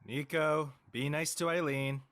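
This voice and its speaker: A man playing a teasing, laid-back middle-aged dad with a deadpan delivery in an audio drama. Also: fairly high pitch, measured pace. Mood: dry, teasing.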